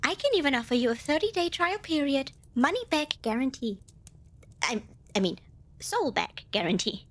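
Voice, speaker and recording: A woman's voice: high-pitched, very animated, moderate pace, fairly bright tone. A woman playing a cute, eager and nervous little female demon in an ASMR roleplay, bubbly and over-polite with hesitant stammers. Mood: pleading, flustered. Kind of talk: ASMR roleplay acting addressed to listener.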